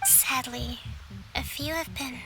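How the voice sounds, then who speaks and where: A woman's voice: high-pitched, steady tone, takes it slowly, music in the background, low-quality recording. An actress playing a composed, dutiful army leader in a game cutscene, speaking formally and decisively with concern for her troops. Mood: grave. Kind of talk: video game cutscene voice acting.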